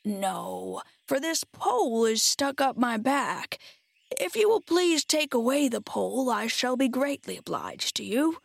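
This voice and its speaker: A woman voicing a friendly, simple stuffed scarecrow man from a storybook, in a husky voice with slow, polite, drawn-out speech. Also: a little high, big pitch swings, dry recording, exaggerated voice. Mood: polite, curious.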